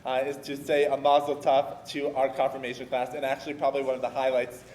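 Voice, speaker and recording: A man's voice: medium pitch, completely flat delivery, quick pace, darkish tone. A man leading a service who casually introduces the next speaker. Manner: casual, with fillers. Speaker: service leader. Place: synagogue sanctuary. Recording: echoey sanctuary with faint noise.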